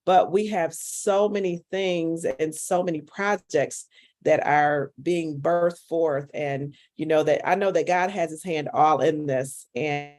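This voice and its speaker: A woman's voice: low-pitched, steady tone, slow, a little mellow. Fervent upbeat woman on a call. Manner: fervent, upbeat, repeats herself. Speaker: caller sharing a testimonial. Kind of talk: enthusiastic testimonial on a call.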